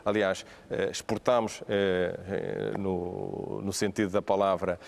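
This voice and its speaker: A man with a fairly low voice and a European Portuguese accent talks through ideas on a panel. He hesitates often, with fillers and restarts, as he explains. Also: flat delivery, slow pace, vocal fry. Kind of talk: panel discussion.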